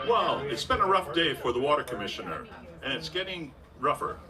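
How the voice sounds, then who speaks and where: An actor playing a polished, upbeat Golden Age radio announcer, delivering scripted narration and sponsor copy. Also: male voice, fairly high pitch, very animated, fairly quick, over loud music. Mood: narrating. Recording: distant stage mic, faint noise.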